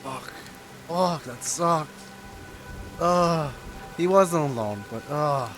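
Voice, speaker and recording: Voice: medium pitch, drawn-out words, a bit bright, faint music behind. A streamer giving casual, emotional reaction commentary over a game, given to exclamations.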